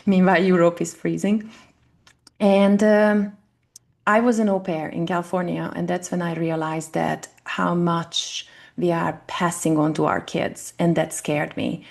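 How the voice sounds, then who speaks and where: A woman's voice: fairly low pitch, flat delivery, a little slow, creaky, a little mellow. A woman in her forties from Hungary with a Hungarian accent, earnestly explaining her coaching work in an online interview, with small grammar slips of a non-native speaker. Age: 40s. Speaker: guest, family constellation coach. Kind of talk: interview answers. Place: home in Texas, online call.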